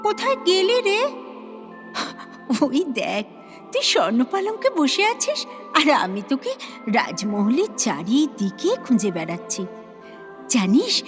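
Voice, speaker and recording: A sweet young fairy-tale princess, speaks in a very high, girlish voice. Her delivery is tender and highly animated in melody, with music playing behind her. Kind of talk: fairy-tale audio drama acting.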